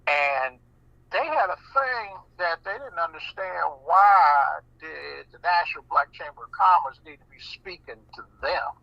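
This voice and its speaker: A man talking on a radio show in an animated, folksy way, often repeating words as he goes. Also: on the high side, unhurried, gravelly, no room sound, muffled recording. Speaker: guest speaker.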